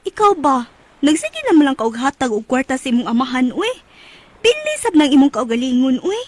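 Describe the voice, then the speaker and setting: A caring, nosy female confidante from a Cebuano radio drama. She has a high voice and a Cebuano accent, and talks in a chiding, motherly way. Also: big pitch swings, fairly quick, darkish tone, no echo. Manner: chiding, motherly advice-giving. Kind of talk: Bisaya radio drama acting.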